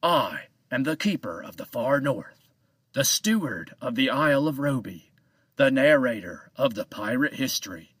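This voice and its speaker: Cryptic old man, slow and measured, played by a male storyteller. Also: mid-range voice, very animated, a bit bright, husky, no echo, recorded from a distance, exaggerated voice. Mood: calm, cryptic. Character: calm, mysterious old male island keeper.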